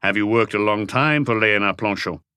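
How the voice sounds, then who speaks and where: A calm police inspector from an audiobook mystery, read by a man. His voice sits on the low side and is recorded very dry. He probes patiently with terse, measured questions. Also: clean tone, no echo. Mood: probing, patient.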